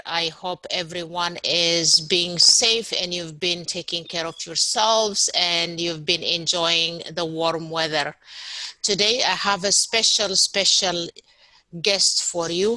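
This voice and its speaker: A woman's voice: on the low side, pushed and bright, no echo, low-quality recording. A woman hosting a podcast interview, upbeat and repeating words for emphasis. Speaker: podcast host.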